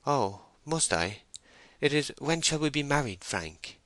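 A man's voice: medium pitch, sing-song, unhurried, fairly bright tone, no echo, voice pushed up. Man voicing a timid, tremulous, pleading young woman. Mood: shy pleading.

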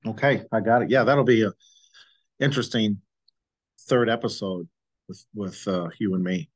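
Male podcast host, low-pitched, dry, earnest, halting delivery. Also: steady tone, dry recording. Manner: halting, earnest, with frequent fillers. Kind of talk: casual conversational interview.

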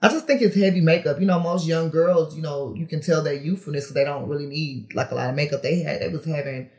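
A woman's voice: low voice, fast talker, a little mellow, roomy sound. A woman in her thirties talking candidly as a podcast guest, chatty and casual, with lots of fillers and frequent laughs. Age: 30s. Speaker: podcast guest, reality TV personality. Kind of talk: casual interview chat.

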